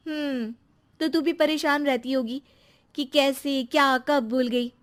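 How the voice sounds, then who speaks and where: A woman's voice: high-pitched, steady tone, a little slow, pitched above the performer's own voice. A woman voicing a timid, apologetic, self-blaming housewife and mother in a cartoon story. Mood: curious, anxious.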